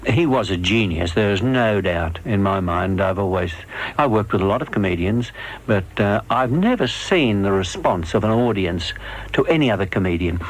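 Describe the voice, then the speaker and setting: An older man with a fairly low voice reminisces in an interview. He tells his stories fondly and haltingly, doubling back on words as he goes. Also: lively intonation, a little hiss or hum, low-quality recording. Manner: halting, repetitive, fond storytelling. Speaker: veteran comedy straight man recalling stage work.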